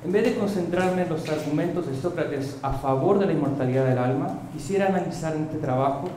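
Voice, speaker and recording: A man, reading a prepared academic paper in a measured way, on a table mic in a seminar room. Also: fairly high pitch, mellow. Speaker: conference speaker. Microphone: table gooseneck mic. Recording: echoey room with faint noise.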